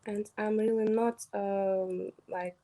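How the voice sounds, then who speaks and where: A young woman with a Kazakh accent, speaking English as a second language as she presents a project on a video call, halting with many fillers. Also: mid-range voice, flat delivery, dark tone.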